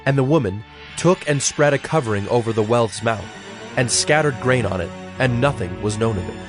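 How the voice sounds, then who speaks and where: Measured male scripture narrator over soft music. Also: mid-range voice.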